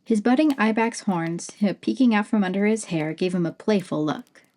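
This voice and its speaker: A woman narrating a fantasy storybook at an easy, gentle pace. Her voice sits in an ordinary middle pitch. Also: expressive, normal speed. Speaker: audiobook narrator.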